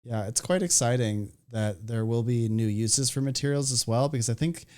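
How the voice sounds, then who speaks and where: A man's voice: mid-range voice, moderate pace, darkish tone. Chatty Canadian man, part American accent. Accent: Canadian, partly American. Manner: chatty, laughs often, restarts. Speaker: podcast host. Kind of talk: casual chat.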